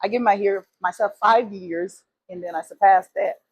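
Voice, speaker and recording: A woman's voice: medium pitch, fairly even intonation, normal speed, breathy edge, no room sound, poor audio. A woman in her forties from Guyana with a Guyanese accent, answering interview questions in an earnest, emphatic way. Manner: earnest, emphatic, repeats key phrases. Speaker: guest, esthetician and business owner.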